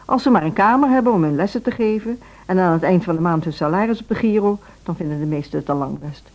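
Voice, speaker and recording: An actress playing a composed, patronizing female music school director in a Dutch radio drama, speaking in a measured, authoritative way. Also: fairly low pitch, animated, a little mellow. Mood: firm, dismissive. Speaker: actor in radio play. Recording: dull, band-limited radio recording.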